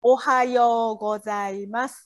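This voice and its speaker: A woman's voice: fairly high pitch, fairly even intonation, slow pace. A Japanese woman with a Japanese accent, encouraging as she casually teaches phrases bit by bit. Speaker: guest language tutor.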